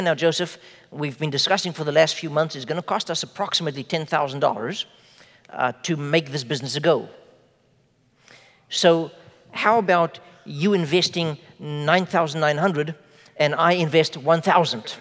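A man whose first language is Afrikaans preaches from the pulpit with a fairly high voice, slowing down to lean on key words and asking questions of the room. A long reverb from the hall trails after his phrases. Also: a bit bright. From South Africa. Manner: measured preaching with slow emphatic phrases and rhetorical questions. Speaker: pastor. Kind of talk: sermon.

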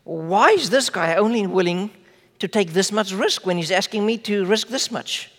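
A man whose first language is Afrikaans preaches from the pulpit with a fairly high voice, slowing down to lean on key words and asking questions of the room. A long reverb from the hall trails after his phrases. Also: fairly bright tone. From South Africa. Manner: measured preaching with slow emphatic phrases and rhetorical questions. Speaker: pastor. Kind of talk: sermon.

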